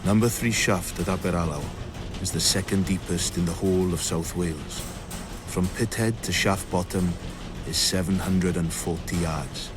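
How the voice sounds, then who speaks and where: An actor playing a wry, jaded doctor, narrating his memories in a measured way for a radio drama. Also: low-pitched, music in the background, natural voice. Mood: wry, reflective.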